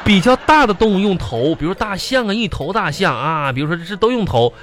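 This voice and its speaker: A fussy flustered dad, talks fast n swoops around, repeats himself, radio comedy. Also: male voice, high voice, expressive, noisy background. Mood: fussy, exasperated. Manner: stammering, repeats words, coaxing. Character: fussy, indulgent, flustered father of a little girl.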